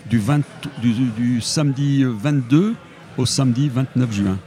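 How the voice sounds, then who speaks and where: A man's voice: medium pitch, measured pace, mellow, faint music behind. A man from Portugal, a motorcycle tour guide, chatting as a guest in a casual radio interview with many restarts.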